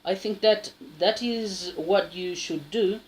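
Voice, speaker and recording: Slow, halting man. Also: high voice, a little hiss or hum. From South America. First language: Spanish. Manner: halting, with many restarts and a frequent tag question. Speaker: English learner sharing advice. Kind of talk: casual spoken monologue.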